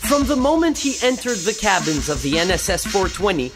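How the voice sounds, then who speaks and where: A narrator of middle pitch reading a scripted recap for a sci-fi radio play. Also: very animated, brisk. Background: prominent music.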